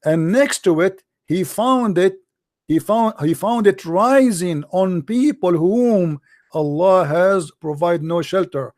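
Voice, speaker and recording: A man whose first language is Arabic, hosting a call-in debate, arguing insistently and slowing down to read scripture aloud. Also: sits high, darkish tone, no room sound, studio quality. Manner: insistent, argumentative, repeats "no, no". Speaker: host.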